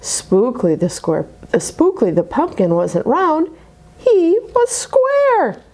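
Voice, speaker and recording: A woman reading a rhyming children's picture book aloud at a church story time, expressive, dropping to dramatic whispers. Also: high-pitched, takes it slowly.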